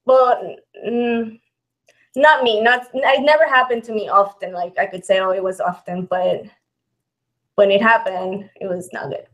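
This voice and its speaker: A woman's voice: mid-range voice, steady tone, a little slow, fairly bright tone, rough recording. A woman in her thirties from Mexico, with a Mexican accent tinged with Texan, chatting casually as host and reading out viewer questions. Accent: Mexican with some Texan. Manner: chatty, laughs often, restarts phrases. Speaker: channel host.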